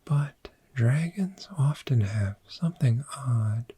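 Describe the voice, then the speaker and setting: A man voicing a friendly, gentle dragon in a soft-spoken, soothing ASMR roleplay, with a breathy whisper and long pauses. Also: medium pitch, lively intonation, no room sound, heavily acted. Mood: cozy, welcoming. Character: friendly, gentle cave dragon fond of sleep.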